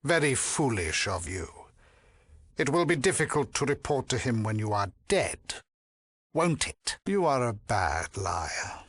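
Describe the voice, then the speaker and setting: A haughty cult priest from a video game. His speech is slow and measured, swinging widely from hushed, silky murmurs to imperious peaks. He is pompous and condescending, with menace under every pious word, all in a close, dry recording. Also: medium pitch, big pitch swings, slightly rough, no echo, exaggerated voice. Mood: cold interrogation. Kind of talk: theatrical video game voice acting.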